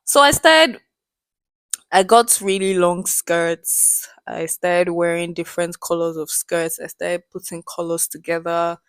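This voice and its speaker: A woman in her twenties, a podcast guest telling stories with lively, swearing-laced energy. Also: lowish voice, measured pace, smooth, no echo. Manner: animated storyteller, swears freely. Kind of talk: casual chat.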